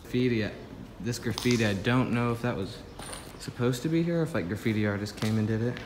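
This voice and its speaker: A young man vlogging as he explores an abandoned building, talking casually and excitedly, with lots of fillers. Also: medium pitch, quick pace, background noise. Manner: excitable, filler-heavy, wide-eyed. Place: outdoors in an abandoned concrete building.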